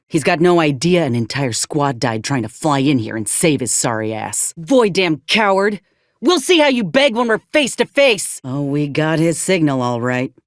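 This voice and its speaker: A woman's voice: low voice, no echo, clean studio sound. A woman playing an earnest, plain-spoken young frontier soldier in a video game, with a blunt, folksy, principled manner. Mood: furious, then determined. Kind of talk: video game character voice acting.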